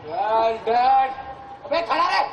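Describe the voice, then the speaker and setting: A man's voice: very high-pitched, animated, slow, highs cut off, exaggerated voice. A man playing a rude policeman in a comic stage play, barking orders and sing-song rhymes. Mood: bossy, clownish. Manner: barking, sing-song rhyming with English tags. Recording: echoey noisy hall with crowd.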